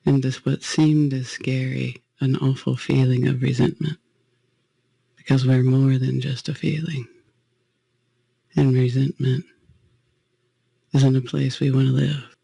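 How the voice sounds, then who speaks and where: Male guided-meditation leader, gravelly and close in a dry space, gentle spiritual delivery. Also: medium pitch, dry recording.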